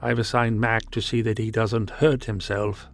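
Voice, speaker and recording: A man acting in a 1950s radio drama as a gloomy, reflective ship's crewman who speaks plainly and deliberately. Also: lowish voice, little pitch movement, gravelly.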